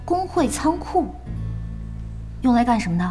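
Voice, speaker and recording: An actress playing a gentle, curious young female gamer in an audio drama, mild, composed and supportive. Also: a little high, very animated, a little slow, over music. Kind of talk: Chinese audio drama acting.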